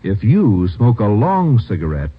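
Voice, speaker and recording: A man's voice: on the low side, huge pitch swings, measured pace, breathy edge. A man reading a 1950s radio commercial as an emphatic announcer and salesman. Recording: dull old radio recording.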